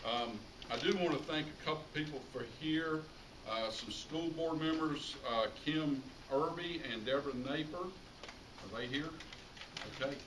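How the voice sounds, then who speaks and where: A man's voice: on the high side, a little slow. A middle-aged American man giving an informal tribute speech to an audience, speaking emphatically and repeating phrases for effect. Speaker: high school public address announcer giving a tribute speech. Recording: roomy, distant mic, clearly noisy ambience.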